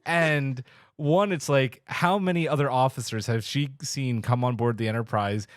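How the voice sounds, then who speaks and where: A man co-hosting a podcast in casual, heartfelt chat, telling stories emphatically and haltingly. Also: mid-range voice, no echo, studio quality. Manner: emphatic, halting, enthusiastic storytelling with acted-out quotes. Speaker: podcast co-host.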